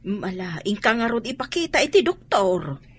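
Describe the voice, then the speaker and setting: Inquisitive Ilocano mother, radio drama, highly animated pitch, raspy edge, talkative. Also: moderate pace. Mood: concerned, probing. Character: talkative, prying mother of grown children, inquisitive.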